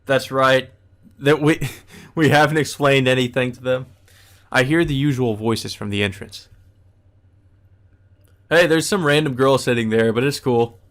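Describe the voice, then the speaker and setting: A man's voice: mid-range voice, measured pace, pauses often. A man reading a visual novel aloud as a let's player, mixing plain narration with casual, wry asides. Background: music.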